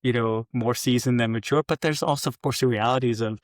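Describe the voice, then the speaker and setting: A middle-aged man from Denmark talks in a casual interview at a brisk pace. He is candid and informal, poking fun at himself. The recording is close and dry. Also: medium pitch, no room sound. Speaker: podcast guest, business executive.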